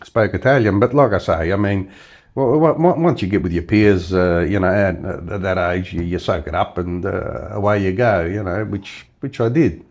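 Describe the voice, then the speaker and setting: Rambling older Australian man, lowish voice. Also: normal speed, darkish tone. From Western Australia. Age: 70s. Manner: rambling, many fillers and restarts. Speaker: interview guest. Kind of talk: casual storytelling interview.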